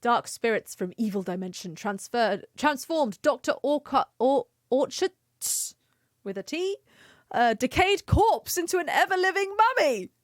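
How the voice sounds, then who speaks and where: Playful, high-voiced woman streaming a game. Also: big pitch swings, measured pace, no echo, clean studio sound. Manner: playful, chatty, sing-song exclamations. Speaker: livestreamer. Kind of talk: casual gaming stream commentary. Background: faint music.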